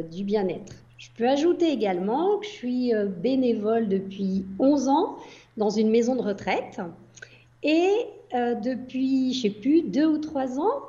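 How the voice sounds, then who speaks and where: A woman's voice: a little high, dark tone, highs cut off. A French woman in her sixties from the Paris region, a grandmother answering interview questions with frequent hesitations. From Paris region, France. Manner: hesitant, many fillers. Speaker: guest, entrepreneur.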